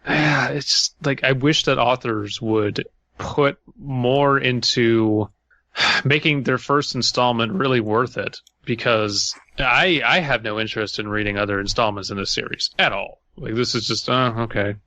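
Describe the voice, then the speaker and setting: Bright-voiced, blunt man on a podcast. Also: mid-range voice, unhurried, no room sound. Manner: blunt, slangy. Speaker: podcast host. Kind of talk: casual story critique.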